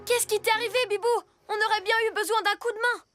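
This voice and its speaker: An eager young child superhero in a cartoon. The voice is very high and expressive, with earnest, encouraging delivery over prominent music. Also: female voice, fast talker, no echo. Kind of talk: French cartoon dub acting. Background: cartoon music under the voice.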